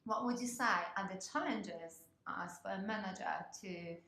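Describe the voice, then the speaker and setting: A woman's voice: mid-range voice, moderate pace, creaky, fairly bright tone. A woman with a Polish accent interviewing two colleagues in a friendly way about work. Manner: friendly, asks questions, laughs easily. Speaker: interviewer, people partner. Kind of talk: workplace interview. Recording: roomy office with faint noise.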